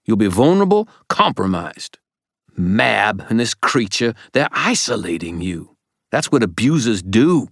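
A man voicing a stern, bitter old wizard grandfather in an audiobook, pleading and scolding forcefully. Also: low-pitched, expressive, unhurried, no room sound, studio quality, full character voice. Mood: desperate, pleading.

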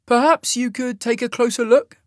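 A man voicing a cold, haughty alien male officer who speaks in a raised, formal, measured way. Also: very high-pitched, steady tone, no echo, studio quality, pitched above the performer's own voice.

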